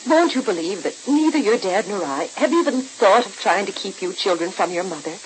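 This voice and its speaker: A woman's voice: medium pitch, lively intonation, a little fast, natural voice, low-quality recording. An actress playing an earnest stepmother in an old radio soap, reasoning and pleading with emotion. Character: earnest, pleading stepmother wanting to seem fair. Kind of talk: 1940s radio soap opera acting. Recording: hissy old-time radio recording.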